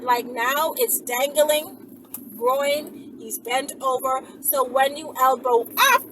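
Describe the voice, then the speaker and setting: A woman in her thirties chatting informally to camera as a vlog host, explaining step by step and often checking she is understood. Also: sits high. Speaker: vlog host giving instructions. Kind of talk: informal instructional chat to camera. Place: inside a car on the road. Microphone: camera at arm's length or on dashboard. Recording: loud vehicle and road noise, distant mic.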